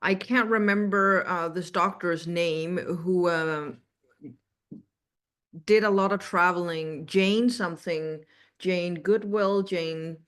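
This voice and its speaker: A middle-aged woman with a Danish accent speaks as an interview guest. Her pitch is a little low and her pace is very slow, with measured, halting explanations. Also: a bit bright. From Denmark. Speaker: interview guest, animal communicator.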